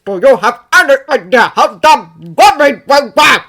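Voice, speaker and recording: A woman doing a gruff, barking older man. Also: high voice, animated, normal speed. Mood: angry. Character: gruff, hot-tempered middle-aged male shop owner.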